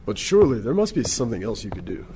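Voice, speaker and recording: An actor playing a polite, sympathetic visitor in an audio drama, with a questioning manner. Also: male voice, on the low side, very animated, quick pace, mellow, slight background noise. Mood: concerned, admiring.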